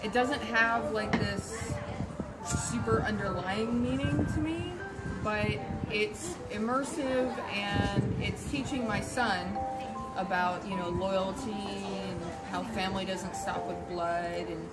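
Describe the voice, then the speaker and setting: Bedachtzame vrouw uit Texas. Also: female voice, mid-range voice, steady tone, slow pace, rough recording. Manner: thoughtful, with frequent "you know". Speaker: fan at a convention. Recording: loud music behind.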